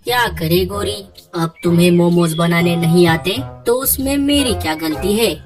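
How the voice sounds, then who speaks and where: A woman acts out a henpecked husband bird in a cartoon. The voice is on the low side, resigned yet teasing. Music is clearly heard behind it. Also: normal speed, lightly acted. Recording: loud children's music behind the voice.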